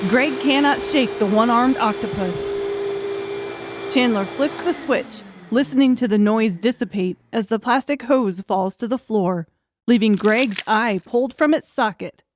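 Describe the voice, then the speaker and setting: A woman reading scripted narration for a dark thriller audiobook. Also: a little high, unhurried, heavy background noise.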